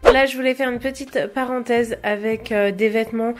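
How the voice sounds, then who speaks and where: A woman's voice: fairly high pitch, steady tone, normal speed, over loud music. A young woman chatting casually to camera about clothes she bought, hesitant, with many fillers and small laughs. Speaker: fashion vlogger. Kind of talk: casual haul chat to camera.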